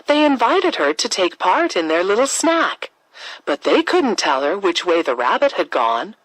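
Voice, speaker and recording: Woman narrator, children's storytelling, animated intonation, unhurried, dry close sound. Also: medium pitch, no echo, poor audio.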